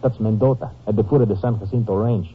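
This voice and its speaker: A man's voice: on the low side, fairly even intonation, fast, husky. A man acting a courteous, earnest Mexican police officer with a Mexican accent and formal, careful phrasing in an old-time radio drama. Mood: formal, informative. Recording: noisy, narrow-band old radio recording.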